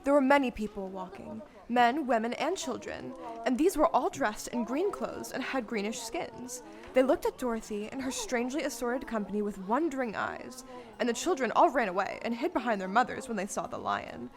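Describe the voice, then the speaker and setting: High-voiced woman narrating a story. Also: big pitch swings, moderate pace, crowd noise.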